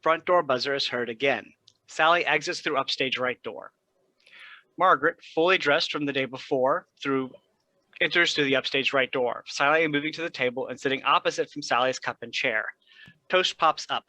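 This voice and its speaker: A man's voice: medium pitch, bright, forceful tone, no echo. A man reading stage directions aloud as scripted narration for a play.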